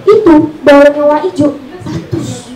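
A woman's voice: high voice, moderate pace, warm tone, recorded from a distance. A young woman performing a stand-up comedy routine as storytelling with punchlines. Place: small indoor comedy venue with audience. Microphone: handheld mic through speakers, recorded from audience. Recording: echoey room, off-mic camera audio.

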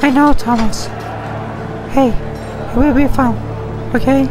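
A woman playing a protective, anxious young mother in wartime London in an animated horror short, speaking gently. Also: high voice, slow, over music. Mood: reassuring.

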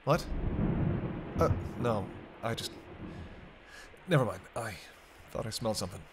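A man's voice: on the low side, expressive, a little slow. A man playing a sarcastic, teasing captive vampire in casual, sweary banter for an intimate roleplay audio drama. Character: teasing, sardonic male vampire held captive by a hunter. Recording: clear background ambience noise.

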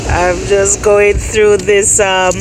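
A middle-aged woman filming from inside a car going through a car wash, exclaiming with excitement and praising God. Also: medium pitch, slow pace. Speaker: vlogger filming her husband. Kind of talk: casual phone vlog. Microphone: phone held at arm's length. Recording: loud car wash noise.

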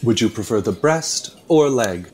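The waiter in a radio comedy who recites the specials grandly, voice swooping, then fusses and flusters at your table. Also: male voice, medium pitch, expressive, unhurried, a little mellow, husky. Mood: fussy, insistent. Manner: pompous, florid, stammering. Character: fussy, pretentious, flustered male steakhouse waiter. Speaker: actor playing a waiter. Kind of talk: radio play comedy acting.

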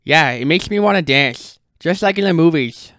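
A whiny teenage cartoon boy, put on by a man, excitable and theatrical. The voice is raised in pitch, bright and forceful, recorded dry. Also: normal speed, no room sound. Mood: dreamy, excited.

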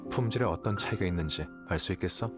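An actor playing an aloof, calculating young scholar in a video game, speaking in a detached, measured, condescending way. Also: male voice, low-pitched, lightly acted. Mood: composed, instructive. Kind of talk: video game character dialogue. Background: game music.

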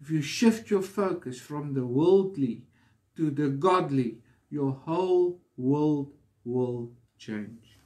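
Male preacher, higher-set mellow voice, earnest devotional delivery in short, deliberate phrases. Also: animated. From South Africa. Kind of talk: devotional sermon.

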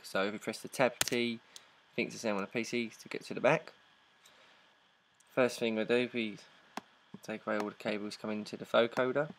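A man presenting a casual software tutorial in an informal, halting step-by-step way. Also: fairly low pitch. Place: indoors, voice-over on a screen recording.

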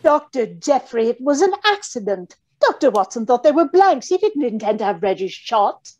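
A woman's voice: fairly high pitch, big pitch swings, normal speed, darkish tone. A woman playing an anxious, genteel young fiancée in old-time radio mystery style, with a pleading manner. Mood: worried. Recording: dull video-call audio.

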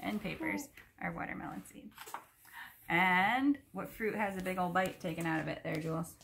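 A woman's voice: fairly low pitch, normal speed, gravelly. A woman in her thirties reading aloud and chatting casually with a child at home. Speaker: adult reading a picture book to a child. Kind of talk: casual read-aloud with chat. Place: living room sofa at home. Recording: faint noise, home video.

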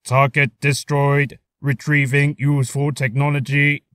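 A man's voice: medium pitch, little pitch movement, a little slow, a bit bright, dry recording, studio quality, close to an everyday voice. A man voicing a cold, methodical combat robot in clipped mechanical announcements for a voice reel. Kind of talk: character voice reel.

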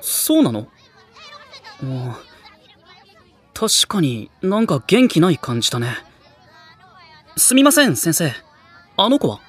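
A man's voice: fairly high pitch, big pitch swings, takes it slowly, pauses often, fairly bright tone, husky, heavily acted. An actor playing an easygoing, polite teenage boy in a Japanese anime audio drama, casual and mild in manner. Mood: mild, curious.

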